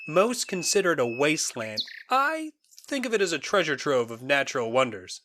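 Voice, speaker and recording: A man's voice: a little high, sing-song, moderate pace, pushed and bright, slight background noise. A man acting in an audio drama as a genial, eccentric naturalist who guides visitors with eager, theatrical and sometimes ominous storytelling. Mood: genial, enthusiastic.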